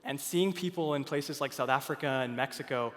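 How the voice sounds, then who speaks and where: A man's voice: medium pitch, brisk, a bit bright. A young man from Finland giving an earnest conference stage presentation, with frequent fillers and restarts. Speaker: product speaker at a conference. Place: large conference hall. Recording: long hall reverb.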